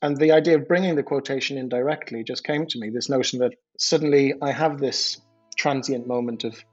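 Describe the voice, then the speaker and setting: A man's voice: medium pitch, fairly even intonation, fairly quick, slightly rough. A man who is a composer, reflecting in an interview on how his own piece came about. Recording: muffled, dull audio, faint music behind.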